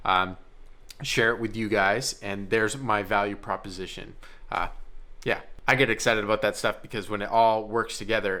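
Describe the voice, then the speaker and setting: A man's voice: lowish voice, a bit bright. A man talking casually and earnestly as a vlogger, feeling his way through his phrasing. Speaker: vlogger and filmmaker. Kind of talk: casual vlog chat.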